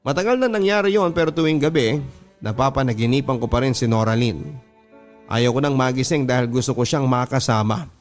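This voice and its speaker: A man's voice: medium pitch, brisk. A man with a Filipino accent hosting a radio story program, reading listener letters as dramatic first-person narration. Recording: music under the voice. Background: background music.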